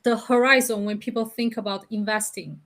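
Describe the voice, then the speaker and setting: A woman's voice: on the high side, fairly even intonation, a little fast, a bit bright. A woman co-hosting a casual podcast chat, candid and laughing as she talks. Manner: candid, laughs while talking. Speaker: co-host.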